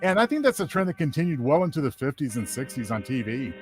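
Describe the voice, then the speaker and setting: A man's voice: mid-range voice, big pitch swings, quick pace, soft background music. A man speaking as a panelist in a casual discussion, reflective and tentative as he gives his opinion.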